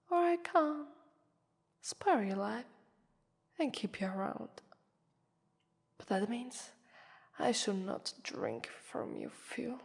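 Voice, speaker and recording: A woman with an Italian accent voicing a haughty, taunting vampire mistress in an ASMR roleplay, breathy, condescending and often whispering. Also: fairly high pitch, exaggerated voice. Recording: long reverberant echo.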